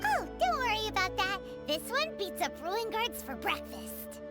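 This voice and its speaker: A woman's voice: very high-pitched, very animated, measured pace, full character voice. A woman voicing a small, curious, bubbly fairy companion in a video game, with a chirpy, childlike and emphatic delivery. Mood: confident. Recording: game music under the voice.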